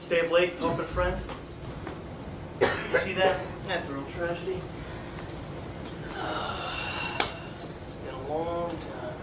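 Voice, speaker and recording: A man's voice: fairly high pitch, takes it slowly, long pauses. A young man acting on stage as a dead teenage best friend, nostalgic, casual and earnestly questioning. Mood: nostalgic, probing. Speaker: stage actor. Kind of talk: live stage play acting, conversational dialogue. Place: theatre stage indoors. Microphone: far camera. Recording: echoey, distant, noisy theatre recording.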